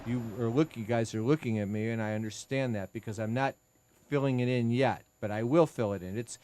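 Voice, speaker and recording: A man's voice: mid-range voice, steady tone, a little fast, background noise. A man in his sixties hosting a legal talk radio show, chatty and self-mocking, often stopping to restart a sentence. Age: 60s. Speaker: attorney and host. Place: radio studio. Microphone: studio mic.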